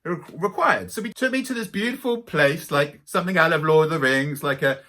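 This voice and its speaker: A man's voice: a little high, brisk. A middle-aged man with a light Welsh accent telling dramatic spiritual stories to camera. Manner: animated, dramatic storyteller. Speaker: spiritual teacher. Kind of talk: storytelling to camera.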